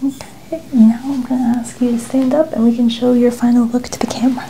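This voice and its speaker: Young female ASMR stylist. Gentle, near-whispered casual delivery, creaky, faint room noise. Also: on the high side, level tone, a little fast, low-quality recording. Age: young adult. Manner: soft-spoken, gentle, often near whisper. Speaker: ASMR hair stylist host. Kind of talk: ASMR role-play narration, casual chat. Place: small indoor room. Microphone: clip-on lapel mic.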